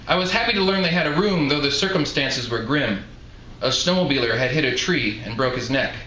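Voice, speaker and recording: A man's voice: mid-range voice, lively intonation, a little fast, sharp tone. A man giving a live literary reading, narrating like an author presenting his own work. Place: indoor live reading event before an audience. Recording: roomy echoing live venue, some noise.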